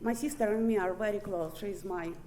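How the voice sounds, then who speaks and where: A woman's voice: mid-range voice, a little fast, dark tone, a little hiss or hum. A woman with a Russian accent, born in Ukraine and raised in Siberia, giving a candid recovery testimony to a meeting room, swearing freely and laughing often. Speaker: recovery meeting main speaker. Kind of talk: personal recovery testimony speech.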